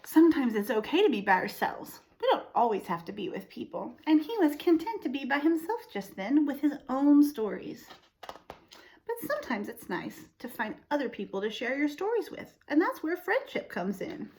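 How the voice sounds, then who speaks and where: A woman reading a picture book aloud to young children, expressively, pausing to explain and ask them questions. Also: high-pitched, very animated, darkish tone, a little hiss or hum. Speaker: storytime host reading to children.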